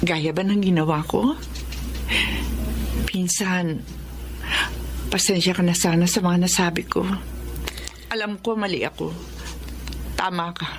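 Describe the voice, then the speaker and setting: A woman's voice: on the low side, steady tone, measured pace, pauses often, a little hiss or hum. An actress playing an anguished, stubborn middle-aged mother in a radio drama, emotional and pleading. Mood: subdued, apologetic. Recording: music under the voice.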